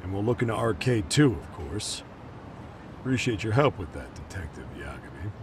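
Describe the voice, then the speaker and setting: An actor playing a gruff, jaded, foul-mouthed middle-aged police detective in an English game dub. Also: male voice, lowish voice, sing-song, normal speed, background noise. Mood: businesslike.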